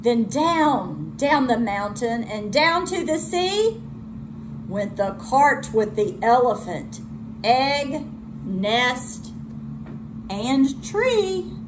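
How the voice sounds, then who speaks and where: A woman reads a children's story like a schoolteacher reading to a class. Her high voice moves slowly and swoops expressively through the narration. There is clear noise in the recording. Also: very animated. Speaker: elementary school teacher reading aloud.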